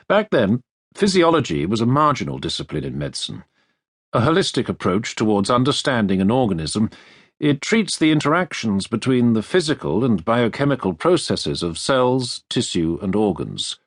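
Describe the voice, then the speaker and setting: A man reading a nonfiction history audiobook as a narrator, with measured, deliberate phrasing, close to a studio microphone. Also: lowish voice, no echo. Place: dry recording studio.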